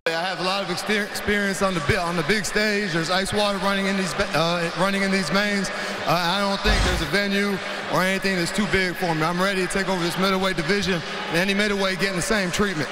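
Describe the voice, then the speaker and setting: A man's voice: sits high, fairly even intonation, quick pace, noisy background. A man speaking boastfully in an interview as a mixed martial arts fighter. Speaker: MMA fighter. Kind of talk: confident interview talk.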